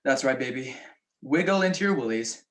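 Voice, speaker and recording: Man acting animated, wisecracking grumpy detective. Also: medium pitch, a little fast, lightly acted. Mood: brisk, wry. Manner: sardonic, dry wit. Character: wisecracking, grumpy married gentleman sleuth. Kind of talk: 1940s radio comedy-mystery acting.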